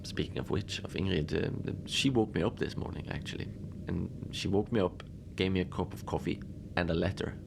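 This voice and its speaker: A man playing a chatty, wry manager of an Antarctic research facility in an audio drama, talking in a rambling, deadpan way with hesitant asides. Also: deep voice, brisk, vocal fry. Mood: bemused, chatty. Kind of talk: conversational monologue, log-style audio drama. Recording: noisy background ambience.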